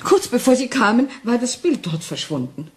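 An actress playing a frightened wife who doubts her sanity, speaking anxiously and haltingly in a German crime radio play. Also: a little high, big pitch swings. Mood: troubled. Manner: anxious, halting, intense, dropping into whispers.

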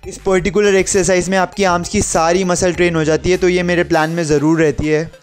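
A man's voice: high voice, little pitch movement, quick pace, smooth, faint music behind. A young man giving an explanatory workout voice-over as an instructor, dropping English gym terms into his sentences. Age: young adult.

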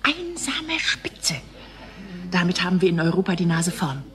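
Spöttische Frau mit tiefer Stimme. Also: female voice, on the low side, sing-song, measured pace. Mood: wry, gossipy. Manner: wry, gossipy, chatty. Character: teasing, sceptical female TV company employee. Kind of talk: naturalistic German radio play dialogue.

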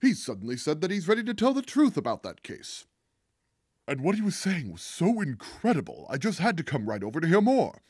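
A man's voice: on the high side, huge pitch swings, fairly quick, darkish tone, husky, full character voice. A man voicing an exuberant, self-important police detective in a theatrical, hearty way for a game fan dub.

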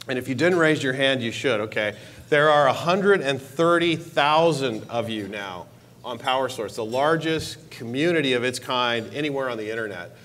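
A man's voice: lively intonation, moderate pace, fairly bright tone. A man in his forties on a conference stage, giving an enthusiastic keynote speech about a product release. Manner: upbeat, enthusiastic pitch with stressed repeated words. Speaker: keynote speaker, technology executive. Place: large conference hall stage. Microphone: lapel mic.

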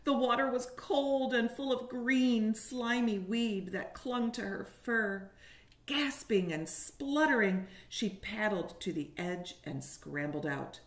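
Slow-paced woman reading to young children. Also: on the high side. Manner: expressive storytelling with questions to young listeners. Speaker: kindergarten teacher. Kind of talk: picture-book read-aloud for young children. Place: living room at home, indoors. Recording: roomy echo on a home webcam.

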